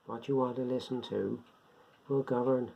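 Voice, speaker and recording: A man giving an informal, unscripted beginners' explainer in a rambling, step-by-step way, repeating himself, with a gravelly, husky voice. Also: medium pitch, steady tone, moderate pace, mellow. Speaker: hobbyist presenter of a beginners' guide. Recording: dull lo-fi home recording.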